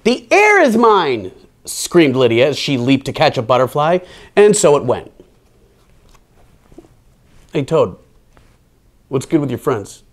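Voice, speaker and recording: A man's voice: mid-range voice, expressive, measured pace, pauses often, a little mellow. A man hosting children's storytime, reading a picture book aloud with playful, theatrical delivery and casual asides.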